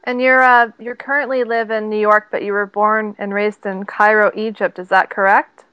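A woman's voice: mid-range voice, little pitch movement, normal speed, pushed and bright, clean tone, no room sound, muffled recording. A woman hosting an interview show, talking in a chatty way with frequent restarts. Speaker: host.

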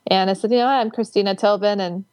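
A woman's voice: medium pitch, fairly even intonation, fast, a little mellow, clear, resonant tone, no echo. A woman hosting an interview, talking in an effusive, rambling way with plenty of fillers and restarts. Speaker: host. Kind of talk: casual interview chat.

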